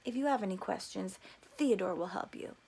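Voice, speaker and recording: A woman's voice: medium pitch, lively intonation, brisk, creaky, darkish tone, slightly rough. A woman voicing an upbeat, preachy male camp counselor in a storybook reading, encouraging and patronizing. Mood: patronizing, insistent.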